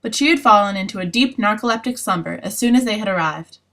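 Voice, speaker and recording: A woman's voice: mid-range voice, fast, fairly bright tone. A woman reading scripted storybook narration for a fairytale parody.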